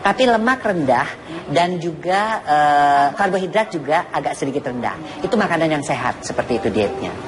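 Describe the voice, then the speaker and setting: A woman's voice: deep voice, animated, moderate pace. A woman doctor giving informal health advice on a talk show, explaining step by step with everyday comparisons and repeating her points. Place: television studio with audience. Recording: noisy TV studio with loud audience. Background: audience noise and laughter.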